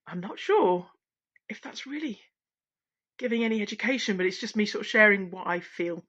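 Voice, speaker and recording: A middle-aged woman speaks in an unplanned, reflective way, often stopping to restart a thought. Her voice is slightly husky, at an ordinary middle pitch, and the recording sounds dull and lo-fi, like a home vlog. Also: lively intonation, fairly bright tone. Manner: candid, reflective, with frequent restarts and pauses. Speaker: singing and voice teacher. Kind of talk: unscripted personal vlog. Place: at home, wrapped in a blanket.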